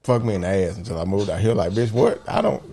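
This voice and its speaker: A man's voice: fairly low pitch, fast talker, dark tone, slightly rough. A man in casual, slangy podcast banter, blunt and profane. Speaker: podcast co-host.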